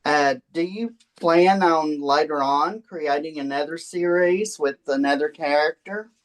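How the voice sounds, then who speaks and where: Male interviewer, slow delivery, informal conversational questioning. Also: a little high, rough recording.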